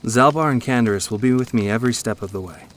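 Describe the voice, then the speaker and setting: A man's voice: medium pitch, quick pace, close to an everyday voice. A man acting a confident, reassuring young scoundrel turned Jedi apprentice in an audio drama.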